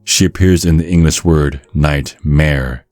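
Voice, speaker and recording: A man reading scripted ASMR narration in a measured, storytelling manner. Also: a bass voice, fairly even intonation, a little mellow, no room sound, faint music behind.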